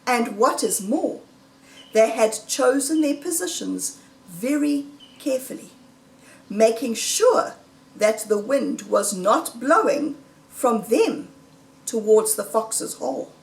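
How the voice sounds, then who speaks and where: A woman reading a children's story aloud in a deliberate, dramatic, suspenseful storytelling manner. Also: on the high side, lively intonation, creaky. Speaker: storybook reader. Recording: roomy echo, distant microphone.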